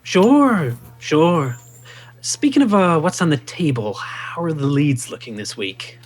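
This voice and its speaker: A breezy, pushy boss in an audio drama. His fairly high voice is slow and swoops widely, glib and insistent. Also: very animated, full character voice. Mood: pressing. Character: breezy, pushy male boss obsessed with sales leads.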